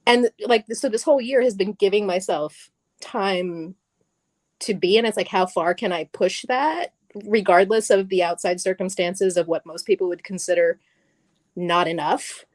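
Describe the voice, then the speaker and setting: A woman's voice: medium pitch, moderate pace, no room sound. A middle-aged woman, a guest on stage at a live event, talking casually and animatedly, laughing often and restarting her sentences.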